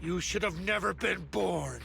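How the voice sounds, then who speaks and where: Shrill, gravelly, raging villainous uncle. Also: male voice, unhurried, faint music behind, full character voice. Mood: furious, contemptuous. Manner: shouting indignantly, sneering. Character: furious, contemptuous older villainous uncle in a noble family. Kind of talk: video game voice acting.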